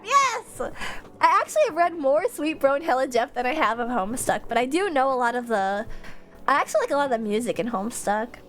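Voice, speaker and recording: A woman with a high-pitched voice talks casually to her livestream viewers over background music. She sounds congested, as if her nose is stuffed up. Her delivery is rambling and animated, full of slang. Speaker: streamer, VTuber.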